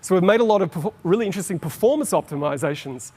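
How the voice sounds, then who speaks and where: A man's voice: high-pitched, animated, darkish tone, slightly rough. A middle-aged Australian man giving an enthusiastic, explanatory conference talk on stage. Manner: explanatory, enthusiastic, quickens on asides. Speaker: conference presenter. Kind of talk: keynote presentation. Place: large conference hall on stage. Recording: long hall reverb.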